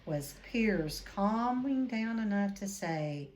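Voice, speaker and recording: A woman reading a children's book aloud expressively, with deliberate dramatic emphasis. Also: mid-range voice, lively intonation, darkish tone. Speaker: read-aloud storyteller.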